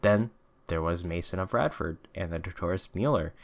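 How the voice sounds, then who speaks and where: Guy reading as an eager eccentric detective, proud, emphatic, low voice, storybook style. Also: moderate pace. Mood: excited, boastful.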